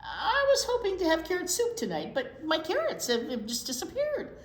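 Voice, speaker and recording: Worried rabbit read by a woman: children's storybook, plaintive, expressive swings, roomy sound. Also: extremely high pitch, normal speed, pitched above the performer's own voice, full character voice. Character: worried rabbit searching for his lost carrots. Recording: roomy echo, clear background noise.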